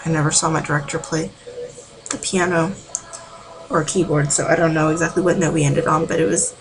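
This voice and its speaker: Woman, low voice with fry, informal amateur singing tutor, rambling delivery, noisy home recording. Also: fairly even intonation, fairly quick. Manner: rambling, informal, with filler words. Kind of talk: casual vocal warm-up tutorial.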